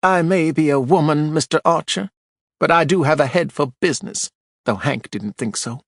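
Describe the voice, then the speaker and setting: A man's voice: moderate pace, vocal fry, no echo, studio quality. A man voicing an indignant, haughty older widow testifying on a witness stand. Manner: shrill, imperious, snappish.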